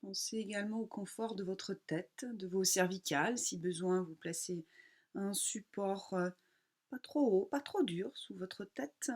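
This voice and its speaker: A woman yoga teacher leads a guided relaxation in a mid-range voice. She speaks calmly and without hurry, giving her instructions in short phrases separated by pauses. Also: medium pitch.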